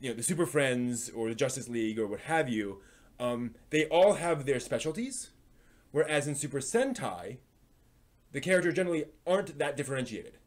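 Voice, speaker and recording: A man hosting a live stream, talking in a casual, analytical way and often halting as he thinks aloud. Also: mid-range voice, expressive. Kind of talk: casual rambling live commentary on anime and comics.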